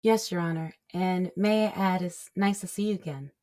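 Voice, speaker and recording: An actress plays a confident state prosecutor in a courtroom audio drama. Her voice is a bit low for a woman, and her delivery is formal and assertive. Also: fairly even intonation, moderate pace, a little mellow, natural voice.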